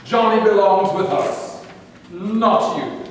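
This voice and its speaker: A man's voice: high voice, slow pace, slight background noise. A man reading a slick preacher con man. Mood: persuasive. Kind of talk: staged play reading. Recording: echoey hall from a distance.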